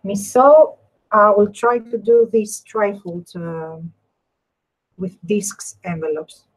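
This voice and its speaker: A woman with a Greek accent and a husky, grainy voice at a medium pitch. She talks casually to viewers while demonstrating a craft, often repeating and rewording her explanations. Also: slow, low-quality recording. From Greece. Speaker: craft tutorial host.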